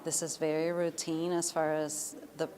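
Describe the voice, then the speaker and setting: A woman's voice: sits low, monotone, moderate pace, warm tone. An American woman explaining things as an official spokesperson in a news interview. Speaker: government communications director, interviewee. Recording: busy room chatter behind.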